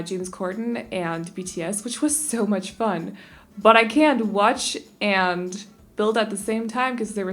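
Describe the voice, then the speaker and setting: A young Danish woman chatting casually and rambling a little as she talks over a game speed build, often restarting her sentences. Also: mid-range voice. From Denmark. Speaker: YouTube creator.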